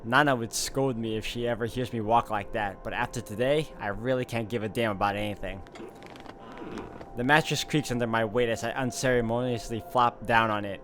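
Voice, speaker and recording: A man's voice: medium pitch, a little hiss or hum. A man hosting a let's-play, reading visual novel narration aloud and adding casual commentary. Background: loud music.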